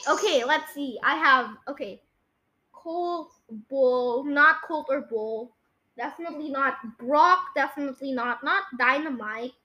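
A female-sounding young host with a high, bright voice. The delivery is casual and excitable, like friends chatting over a game, with phrases often repeated. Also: unhurried. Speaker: podcast host. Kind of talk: casual game chat, guessing voice lines.

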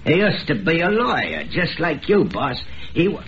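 An actor playing a boastful, cruel hobo murderer, drunk and wary, with rough slangy American speech in an old-time radio drama. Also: male voice, on the high side, wildly swooping pitch, normal speed. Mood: uneasy. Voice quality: rough uneducated slangy diction. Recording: dull, noisy old radio recording.

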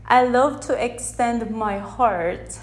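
A woman from the Philippines whose first language is Tagalog, talking unscripted into a phone held in one hand on her podcast, gently and with many restarts as she searches for words. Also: on the high side, slow pace. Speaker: podcast host. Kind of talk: unscripted reflective podcast monologue. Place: unedited setting with background sound.

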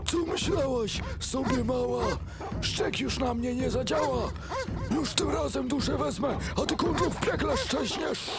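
A man's voice: extremely high pitch, measured pace, creaky, over loud music, exaggerated voice. A man voicing a menacing old soul-eating ghost who poses as Santa in a comic rhymed audio play. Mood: furious, threatening.

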